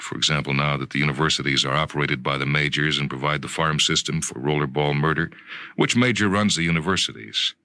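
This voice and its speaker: A man with a very low, bright and forceful voice reads first-person fiction. His delivery is conversational and emphatic, and the sound is close and dry. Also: dry recording. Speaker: narrator. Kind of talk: dramatic first-person short story reading.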